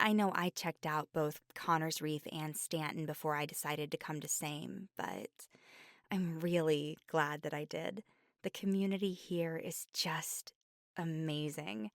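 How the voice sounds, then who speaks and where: A woman's voice: sits low, gravelly, no room sound, clean studio sound. A young woman playing a friendly, easily flustered college bookshop clerk in a chatty ASMR roleplay. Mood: warm, reassuring. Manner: chatty, stammering when flustered. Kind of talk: ASMR roleplay, scripted one-sided conversation.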